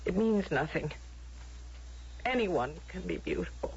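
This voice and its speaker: An actress in an old radio drama playing an unhappy, defiant, lovesick young woman, plaintive and halting. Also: lowish voice, sing-song, slightly rough, heavily acted. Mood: lovelorn, pleading. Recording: dull lo-fi vintage radio drama recording.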